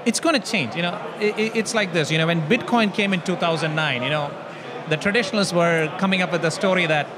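A man's voice: sits high, fast talker, crowd noise. A man in his forties, a guest in a conference interview, arguing his points with rhetorical questions into a handheld mic in a hotel hall. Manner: argumentative, uses rhetorical questions. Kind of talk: conversational interview. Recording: noisy background.

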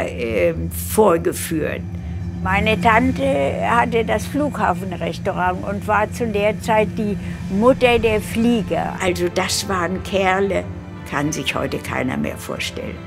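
A woman of about 100 from Königsberg in former East Prussia, reminiscing in an unhurried, halting way in a documentary interview. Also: medium pitch, vocal fry. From Königsberg, East Prussia, Germany. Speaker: documentary interviewee, eyewitness recalling her life. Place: furnished living room, indoors.

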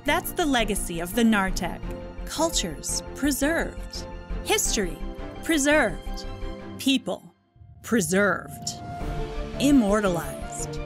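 A woman playing a grandiose, darkly sinister museum advert announcer, pompous and fond of dramatic pauses. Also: mid-range voice, sing-song. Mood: ominous, grand. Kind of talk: scripted parody museum advertisement voice-over. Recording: music bed under the voice.

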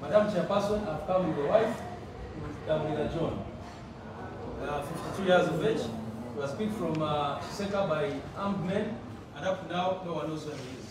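A man giving formal prepared testimony to a parliamentary committee, reading in a measured way and addressing the chair, recorded from a distance in a large wood-panelled room. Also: fairly high pitch. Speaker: party representative presenting to a parliamentary committee. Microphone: far camera. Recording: echoey room recorded from afar with noise.

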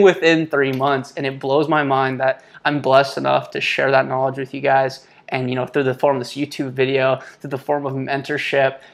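A man in his twenties talking informally and with slang to his viewers, like a motivational entrepreneur vlogger. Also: mid-range voice. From born in Israel. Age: 20s. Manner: informal and slangy, often addressing listeners as guys. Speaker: online entrepreneur, YouTuber and mentor. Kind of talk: casual motivational vlog talk to camera. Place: small home office, indoors. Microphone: lapel mic.

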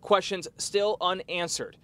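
A man reporting live for TV news outside a factory, in a measured, formal delivery. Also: high-pitched, steady tone, pushed and bright, slight background noise. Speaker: TV field reporter. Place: outdoors at a factory.

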